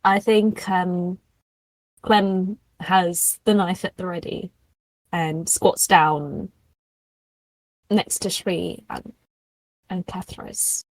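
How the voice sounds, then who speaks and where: A woman's voice: lowish voice, steady tone, very slow, pauses often, no room sound, rough recording. A woman playing a tabletop roleplaying game, improvising casually in a wry, blunt manner.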